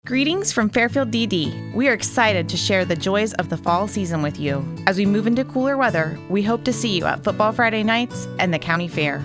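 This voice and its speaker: A woman's voice: mid-range voice, expressive, brisk, few pauses. A woman reading a scripted community announcement in a friendly, upbeat way. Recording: music bed under voice.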